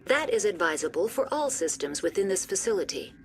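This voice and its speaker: Impassive facility computer. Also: female voice, medium pitch, very animated. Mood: politely impassive. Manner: formal, measured, impassive. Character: calm, polite female facility computer intelligence. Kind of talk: video game voice acting. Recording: music behind the voice.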